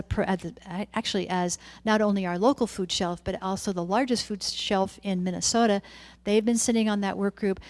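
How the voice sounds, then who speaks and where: A woman's voice: mid-range voice, a little mellow. A woman, a public health official presenting plans to a city committee in an informal way, walking through her slides.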